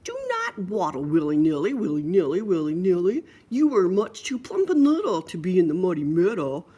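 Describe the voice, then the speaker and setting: A woman's voice: lowish voice, wildly swooping pitch, moderate pace, mellow, slightly rough, exaggerated voice. A woman voicing a silly, bossy brother pig, scolding in an emphatic sing-song for a storybook.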